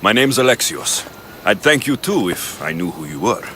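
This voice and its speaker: A man's voice: lowish voice, very animated, normal speed, a bit bright, slight background noise. A man playing a blunt, confident young mercenary in dramatic video game dialogue. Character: blunt, confident male Spartan-born mercenary.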